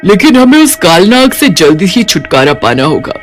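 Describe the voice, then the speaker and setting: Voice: mid-range voice, sing-song, moderate pace, faint music behind, natural voice. A performer voicing a hungry, blunt, boastful but secretly kind-hearted cartoon witch with brash, colloquial delivery. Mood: remorseful, caring. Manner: brash, taunting, colloquial scolding. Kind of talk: Hindi cartoon character voice.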